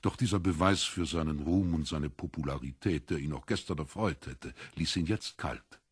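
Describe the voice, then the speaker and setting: A man's voice: extremely low pitch, moderate pace, husky, no room sound, clean studio sound. A man reading scripted literary narration for a German audio drama.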